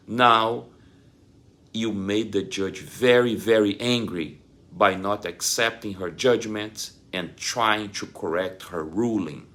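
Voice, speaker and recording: A man in his forties giving a scripted explainer straight to the viewer, deliberate and emphatic, pausing between short phrases. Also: on the low side, gravelly, a bit bright. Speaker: presenter of divorce self-help videos. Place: indoors, small plain room.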